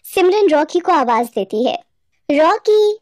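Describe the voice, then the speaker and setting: A woman's voice: a little high, very animated, moderate pace, a little mellow. A woman narrating a cartoon moral story from a script.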